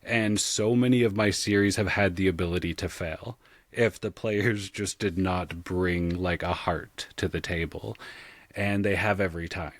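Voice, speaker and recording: Deep-voiced man, closely recorded, talking candidly. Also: fairly even intonation, normal speed, no echo. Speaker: podcast guest, audio drama sound designer and game master. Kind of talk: casual, reflective interview talk.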